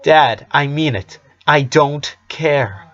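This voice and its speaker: An earnest young boy who comforts someone in a gentle, reassuring way, as a man puts him on. The voice sits fairly high, with a gravelly edge. The audio is dull and lo-fi. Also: very animated, unhurried, exaggerated voice. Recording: dull lo-fi recording.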